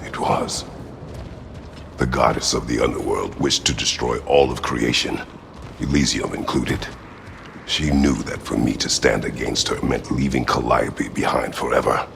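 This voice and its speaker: A man's voice: measured pace, noisy background, exaggerated voice. An actor playing a grim, battle-weary warrior father haunted by regret in a video game, speaking in a stoic, solemn, terse way with formal wording. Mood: sorrowful. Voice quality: very deep gravelly growl. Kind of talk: video game dramatic acting.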